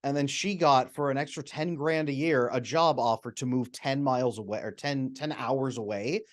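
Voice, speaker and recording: A man, a retired psychotherapist, speaking as a podcast guest and explaining at length, often acting out quoted people with sudden jumps up in pitch. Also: moderate pace. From America. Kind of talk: animated explanatory interview talk.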